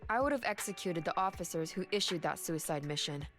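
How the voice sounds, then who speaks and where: Woman acting as stoic young female soldier, video game drama, terse, direct, guarded, clear music in the background. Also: fairly low pitch, lively intonation, fairly quick, natural voice. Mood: blunt. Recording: music behind the voice.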